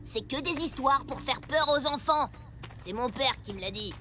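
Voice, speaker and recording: A woman's voice: sits high, moderate pace, lightly acted. A woman voicing a cocky young bully boy in a dubbed cartoon, sneering and bossy as he speaks. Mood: scornful. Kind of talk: animated series dubbing. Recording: music playing behind.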